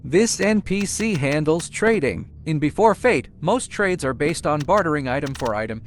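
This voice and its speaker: A man's voice: on the high side, expressive, moderate pace. A man giving a chatty, informal, playfully boastful video game walkthrough commentary, talking casually to viewers.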